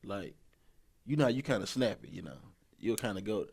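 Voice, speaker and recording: A man's voice: fairly low pitch, expressive, moderate pace, gravelly, darkish tone, husky. A man in his thirties co-hosting a podcast, giving relationship advice in a rambling, colloquial way, often repeating himself and laughing. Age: 30s. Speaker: podcast co-host. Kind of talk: casual relationship advice talk.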